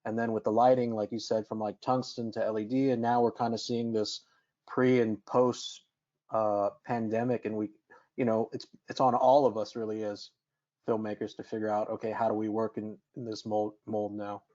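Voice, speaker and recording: A man's voice: lowish voice, flat delivery, moderate pace, warm tone. A man chatting casually and loosely as a film crew guest in a panel conversation. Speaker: film lighting or grip crew, interview guest. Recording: muffled lo-fi online call.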